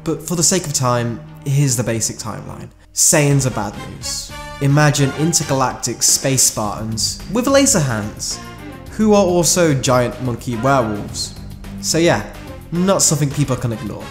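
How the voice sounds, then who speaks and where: Over clearly audible background music, a man delivers fan commentary in a mid-range voice. He sounds conversational, moving through scripted narration and slipping in wry asides. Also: medium pitch, expressive, moderate pace. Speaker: video essay narrator.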